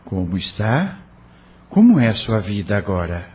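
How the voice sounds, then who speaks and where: A man's voice: fairly low pitch, big pitch swings, unhurried, darkish tone, husky, heavily acted. An actor in an audio novel playing a troubled widowed doctor, a father determined to protect his children. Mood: earnest, tender. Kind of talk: audio novel dramatic acting.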